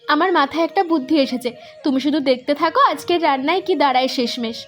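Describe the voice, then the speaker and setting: A woman voicing a quick, high-voiced playful daughter. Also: soft background music, lightly acted. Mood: eager. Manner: eager, playful. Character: lively young village daughter, playful and secretive. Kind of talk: cartoon voice acting.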